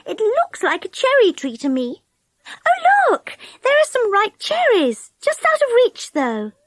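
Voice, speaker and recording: A woman's voice: very high voice, huge pitch swings, unhurried, no echo, voice pushed up, exaggerated voice. A woman voicing a sulky young girl who whines and pleads through tears. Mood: curious. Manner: whiny, tearful pleading.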